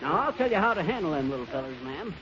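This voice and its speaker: A man's voice: fairly high pitch, very animated, quick pace, a bit bright. An actor playing a brash, folksy, uneducated American kennel man, slangy and familiar, in 1930s radio comedy style. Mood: breezy, folksy. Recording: very noisy, muffled 1930s radio recording.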